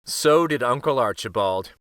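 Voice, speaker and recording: A man reading a children's picture book aloud as an expressive storyteller. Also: mid-range voice, moderate pace, clean tone, no room sound, clean studio sound. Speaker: storyteller, read-aloud host.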